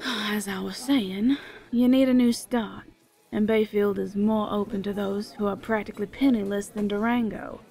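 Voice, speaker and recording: A woman's voice: mid-range voice, steady tone, normal speed, vocal fry, darkish tone, natural voice. Woman acting a brash, folksy small-town matron. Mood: bossy. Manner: folksy, blunt, teasing. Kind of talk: audio theater acting.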